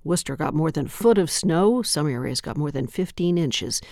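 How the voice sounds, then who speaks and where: A woman hosting a local radio newscast, reading stories in a steady, measured way. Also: low-pitched, vocal fry, no echo, clean studio sound. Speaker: local news host. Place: radio studio.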